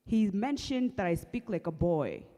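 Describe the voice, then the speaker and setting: A young Kenyan woman with a husky voice, earnestly telling her personal story at an awareness event, with halting restarts. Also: mid-range voice, lively intonation, dark tone. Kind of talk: personal testimony talk. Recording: long hall reverb.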